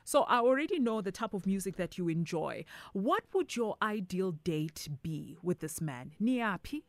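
A woman's voice: mid-range voice, big pitch swings, moderate pace, dry recording. A South African woman hosting a radio dating segment, teasing and mixing English with Zulu. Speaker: radio host. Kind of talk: casual radio chat. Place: radio studio.

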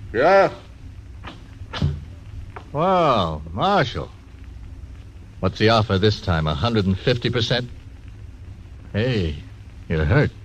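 An actor voicing a disgraced frontier doctor turned gambler, terse and sardonic, in 1940s radio acting style. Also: male voice, lowish voice, sing-song, takes it slowly, pauses often, slight background noise. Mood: terse, bitter. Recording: dull old radio recording.

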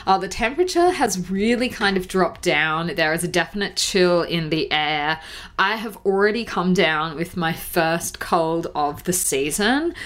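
A woman's voice: lowish voice, a bit bright. A woman hosting a podcast in a casual, chatty way, sounding a bit congested from a cold, with frequent fillers. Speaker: podcast host. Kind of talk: casual solo podcast chat.

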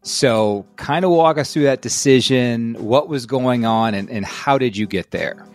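A man hosting a podcast interview, enthusiastic and quick to address the listeners directly as he prompts his guest. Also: mid-range voice. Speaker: podcast host.

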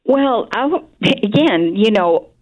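A woman from Chile with a Chilean Spanish accent, a radio interview guest who pauses and searches for her words, often with um and uh. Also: medium pitch, expressive, darkish tone, muffled recording. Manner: hesitant, searching for words, frequent um and uh.

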